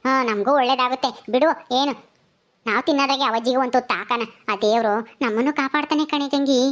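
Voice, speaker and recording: ಮಹಿಳೆ ಅಭಿನಯಿಸಿದ ಜಾಣ, ಪುಸಲಾಯಿಸುವ ಹಳ್ಳಿ ಹೆಂಗಸು. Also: female voice, sits high, fast talker, lo-fi sound, lightly acted. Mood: coaxing. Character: crafty village woman feigning kindness, keen on money. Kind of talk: acted village comedy-drama story.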